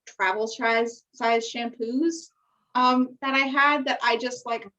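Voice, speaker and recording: A woman's voice: fairly high pitch, fairly even intonation, slow pace, pushed and bright, soft background music. A young American woman co-hosting a podcast, chatting casually in an informal, encouraging way, on a muffled, distant recording. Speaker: podcast co-host. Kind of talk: casual chat. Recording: muffled, distant-sounding microphone.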